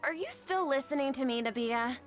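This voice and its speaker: A woman's voice: high-pitched, animated, fairly quick. A woman playing a composed, reflective young woman confiding in a friend, as video game dialogue. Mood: reflective, mildly let down. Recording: music behind.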